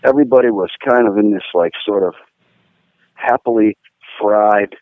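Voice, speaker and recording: A man from Pittsburgh, a guitar-playing guest, telling rambling, animated stories with lots of fillers. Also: lowish voice, moderate pace, no echo. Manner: rambling, animated, fillers, laughs at own jokes. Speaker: guest musician, guitar player. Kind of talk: casual storytelling interview. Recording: tinny phone-line sound, dry.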